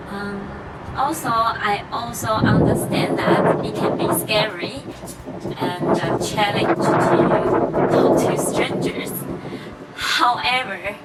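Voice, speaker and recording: A woman's voice: fairly high pitch, very noisy. A young woman vlogger whose first language is Mandarin, chatting casually and encouragingly to her viewers, with plenty of fillers. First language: Mandarin Chinese. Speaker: travel vlogger. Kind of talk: casual vlog talk to camera. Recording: music playing behind.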